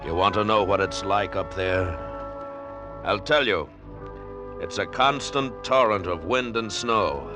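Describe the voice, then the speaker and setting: A man's voice: on the low side, measured pace, close to an everyday voice. An actor playing an intense, obsessed mountaineer who tells his story in a 1950s radio drama. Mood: intense awe. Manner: intense, dramatic, brooding delivery. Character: obsessed male mountaineer recounting an Everest tragedy. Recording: old radio recording with loud music behind.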